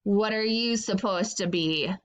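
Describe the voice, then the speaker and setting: A woman's voice: medium pitch, a little slow, voice pushed down, close to an everyday voice. A woman voicing a small, rude, male rabbit creature from a children's storybook, with a snippety, haughty manner.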